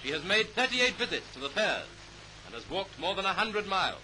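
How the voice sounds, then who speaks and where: High-voiced 1930s newsreel narrator. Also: male voice, sing-song, fairly quick, pushed and bright, slight background noise, poor audio. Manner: clipped, declamatory, upbeat. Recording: old 1930s newsreel soundtrack with music. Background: orchestral music.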